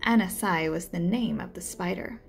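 A woman's voice: lowish voice, moderate pace, gravelly, a little hiss or hum. A woman reading a children's story aloud as a learning coach, introducing and narrating it.

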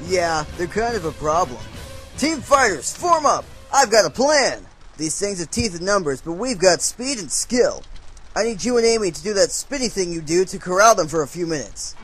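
A man's voice: sits high, very animated, normal speed, fairly bright tone, soft background music. A man voicing a confident, encouraging young hedgehog hero with an upbeat manner in a comic dub. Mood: brisk, commanding. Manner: upbeat, assured. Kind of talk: amateur comic dub voice acting.

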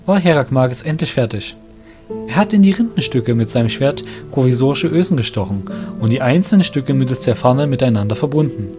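A man's voice: medium pitch, a little mellow. A man narrating a scripted fantasy audio drama as its storyteller. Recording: music behind the voice.

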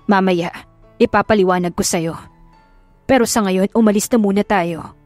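A woman's voice: mid-range voice, normal speed, darkish tone, dry recording, faint music behind, natural voice. A Filipino woman voicing a protective young male hero, urgent and scolding.

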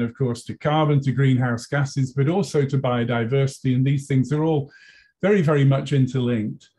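British man, mellow video-call speaker. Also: a little high. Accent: British English. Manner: measured, thoughtful, frequent restarts. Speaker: university professor, panel speaker. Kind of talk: conference panel talk. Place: office with bookshelves.

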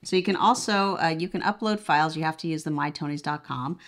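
A woman's voice: low voice, quick pace, fairly bright tone. A woman reviewing a product casually, explaining step by step in a conversational way. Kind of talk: casual unboxing and demonstration.

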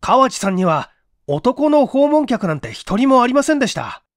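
A deferential apartment employee voiced by a male reader answers police in a mystery story. He is polite but emphatic and earnest, with a high, animated voice in a dry recording. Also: moderate pace, no room sound. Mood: insistent. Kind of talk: dramatic audiobook character acting.

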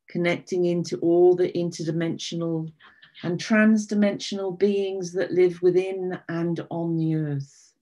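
Slow soothing woman leading meditation. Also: fairly low pitch. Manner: soft, soothing, unhurried. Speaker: meditation guide. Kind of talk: guided meditation.

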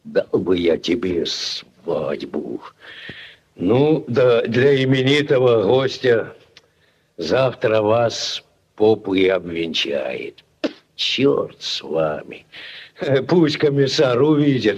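An actor playing a pompous, gruff old village headman in a 1940s radio play, blustering and repeating himself. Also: lowish voice, expressive, very slow, muffled recording, exaggerated voice. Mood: gruff, expansive. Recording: vintage 1940s radio recording.

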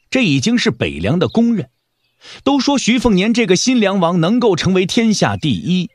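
A man narrating an audio drama from a script in an expressive storytelling manner. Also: on the high side, dry recording.

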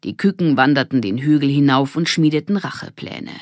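Low-pitched woman narrating a children's story. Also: a little fast, dry recording, clean studio sound. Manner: expressive storytelling. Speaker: audiobook narrator.

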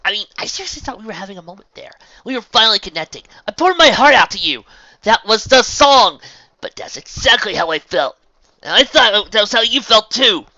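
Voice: medium pitch, lively intonation, brisk, hardly any pauses, pushed and bright, dry recording, pitched above the performer's own voice. A reader voicing a sarcastic, hot-tempered young woman, a former villainess who accuses and mocks, in a dull lo-fi recording. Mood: hurt.

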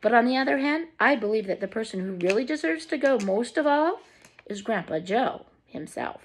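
A gentle, soft-spoken father from a storybook, read by a woman. Her voice stays breathy and a bit above middle pitch, speaking kindly and unhurried. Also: animated.

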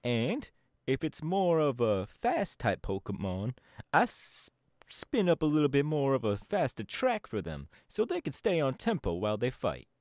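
A man's voice: mid-range voice, huge pitch swings, moderate pace, a little mellow, dry recording, clean studio sound. A man voice acting a laid-back young male DJ gang boss in an ASMR audio roleplay, talking in an easygoing, chatty drawl. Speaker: voice actor.

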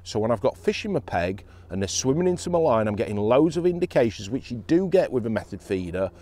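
A man in his forties, a professional angler, explaining fishing tackle outdoors by a lake in an emphatic, animated way. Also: mid-range voice, fast.

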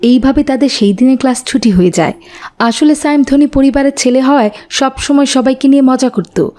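A female narrator tells a scripted cartoon story. Her voice is pitched a little above the usual for women. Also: fairly quick, dark tone.

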